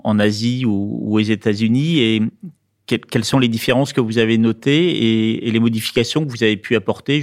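A man's voice: lowish voice, no room sound, studio quality. A male co-interviewer who asks long multi-part questions.

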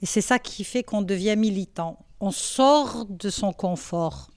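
A woman in her sixties from Algeria, answering schoolchildren's questions in a classroom, thoughtful and halting with many pauses. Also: mid-range voice, darkish tone, a little hiss or hum. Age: 60s. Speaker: women's rights activist answering pupils' questions.

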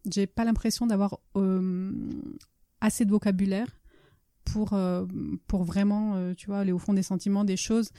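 A native Italian-speaking woman from the Naples region, talking casually as an interviewed author, halting and often rephrasing. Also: mid-range voice, warm tone, no echo, clean studio sound. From Naples region, Italy. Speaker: author and blogger being interviewed.

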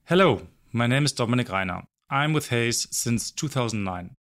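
Man in his forties, brisk business talk. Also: medium pitch, animated, a bit bright. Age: 40s. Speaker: recruitment company director. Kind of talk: scripted self-introduction.

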